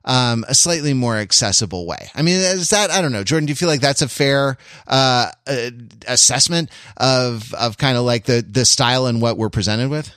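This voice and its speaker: Halting man discussing film, dry sound. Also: medium pitch, fairly bright tone, clean tone, no room sound. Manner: halting, rambling, wordy and analytical. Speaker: podcast panelist.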